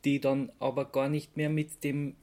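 Male podcast host, Austrian accent, conversational explainer, halting delivery with an amused edge. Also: mid-range voice, flat delivery, a little mellow. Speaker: podcast host presenting research. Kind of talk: casual podcast chat, explaining research.

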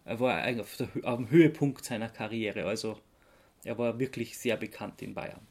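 A podcast host talks in a casual, conversational way. The voice sits at a middle pitch. The delivery is halting, with searches for the right word, and has an easily amused manner. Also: steady tone, a little mellow, husky.

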